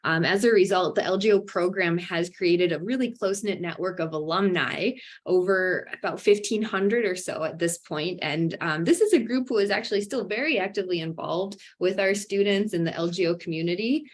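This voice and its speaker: Upbeat woman presenter leading an online info session, loose and conversational. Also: fairly low pitch. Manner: upbeat, many fillers, bright emphatic rises. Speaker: webinar host for a graduate program.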